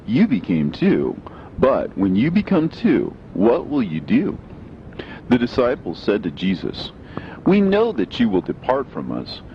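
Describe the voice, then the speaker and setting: A man's voice: low voice, lo-fi sound, a little hiss or hum. A man reading scripture as scripted audiobook narration, in a solemn, declamatory manner. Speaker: reader of religious scripture.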